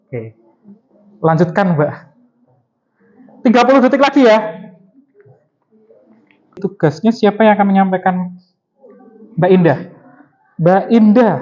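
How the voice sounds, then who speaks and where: A man's voice: high-pitched, darkish tone. Guy teacher w asking his class short questions, kinda muffled audio. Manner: prompting with short questions and repeating answers. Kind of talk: classroom instruction, questioning students.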